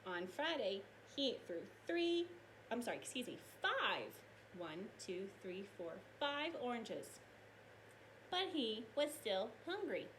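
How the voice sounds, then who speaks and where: A woman teacher reading aloud and explaining science to young children in an expressive, explanatory way. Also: a little high, takes it slowly, slight background noise, low-quality recording.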